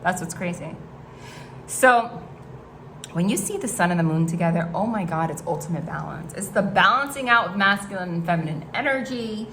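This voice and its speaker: Chatty northeastern American woman, lowish voice. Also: expressive, moderate pace, fairly bright tone, slight background noise, rough recording. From New Jersey / New York City area. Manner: chatty, rambling, frequent "like" and restarts. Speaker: tarot reader. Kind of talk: casual tarot reading to camera. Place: hot room at home.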